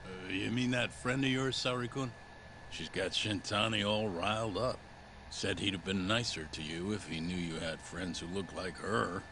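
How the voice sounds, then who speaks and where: An actor playing a gruff male colleague in a crime drama game, talking in a blunt, teasing way. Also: sits low, moderate pace. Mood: teasing, irritated. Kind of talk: cinematic video game dialogue. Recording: clear background ambient noise.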